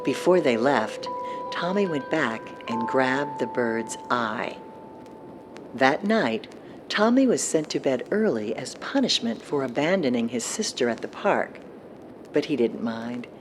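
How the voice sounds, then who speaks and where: A woman's voice: extremely low pitch, animated, measured pace. A woman narrating a scripted horror story in a storytelling style. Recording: music behind the narration.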